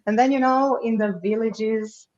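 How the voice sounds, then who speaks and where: A woman's voice: fairly high pitch, steady tone, measured pace, a little hiss or hum. Woman from romania cohosting a book livestream, lots of uh and um, kinda muffled audio. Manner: warm-up chatter with frequent fillers and restarts. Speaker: co-host of an online book event. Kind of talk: casual livestream chat.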